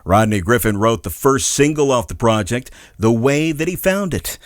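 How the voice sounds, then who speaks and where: A man's voice: medium pitch, animated, moderate pace, dry recording, clean studio sound. A male host reading a scripted music news update in an upbeat, friendly way.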